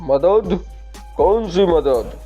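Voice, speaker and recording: Man playing a boastful tantric sorcerer, gruff, bossy, dark tone, muffled lo-fi sound. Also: a little high, expressive, very slow, faint music behind. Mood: scornful. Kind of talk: horror cartoon voice acting. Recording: muffled lo-fi recording.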